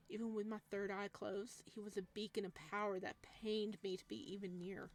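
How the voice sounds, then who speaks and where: A woman let's player reading game text aloud and commenting casually, in a playful, giggly, reactive way. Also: medium pitch. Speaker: let's player narrating a visual novel.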